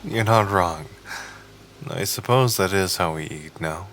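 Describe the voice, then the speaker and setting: An actor plays an ageless, devoted man in an audio drama, quiet and earnest. His voice is on the low side and slow, with reserved, understated delivery. Soft music runs behind it. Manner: reserved, understated, brief replies. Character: ancient long-lived nonhuman man, quiet, earnest, devoted. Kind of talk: naturalistic audio drama acting.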